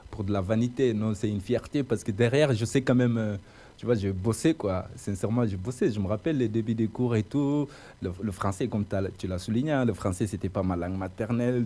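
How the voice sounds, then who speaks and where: A man's voice: medium pitch, mellow. A young man being interviewed about his path into radio, talking earnestly and colloquially, sprinkling his sentences with casual fillers. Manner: earnest, colloquial, heavy use of "tu vois" and "quoi" fillers. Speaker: radio presenter interviewed as guest.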